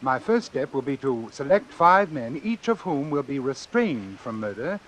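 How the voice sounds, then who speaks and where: An actor playing a coolly confident, obsessive doctor in a vintage radio suspense drama, speaking formally and precisely. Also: male voice, mid-range voice, big pitch swings, normal speed, a little hiss or hum, close to an everyday voice. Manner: formal, precise, self-assured. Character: coolly confident, obsessive male doctor running a deadly experiment. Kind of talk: old-time radio suspense drama acting and narration.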